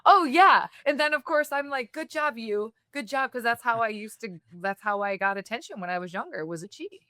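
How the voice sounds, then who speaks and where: A woman from the United States hosting an interview, talking in a candid, reflective way with quick bursts. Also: fairly high pitch, expressive, pushed and bright, dry recording, clean studio sound. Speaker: podcast host. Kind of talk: conversational interview.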